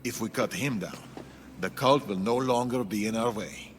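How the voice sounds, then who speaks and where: An actor playing a stern, calculating rebel strategist in a video game, speaking in a measured, commanding way. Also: male voice, fairly low pitch, a little hiss or hum, close to an everyday voice. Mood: stern, purposeful. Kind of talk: video game cutscene acting. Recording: long reverberant hall, music behind.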